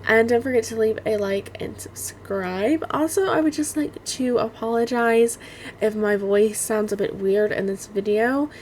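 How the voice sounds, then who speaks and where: Young woman, hoarse and strained, quiet delivery; casual rambling game reviewer, faint background noise. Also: a little high, a little slow. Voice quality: quiet, cannot get louder. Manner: rambling, many "like" fillers, apologetic. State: hoarse, strained voice after allergies.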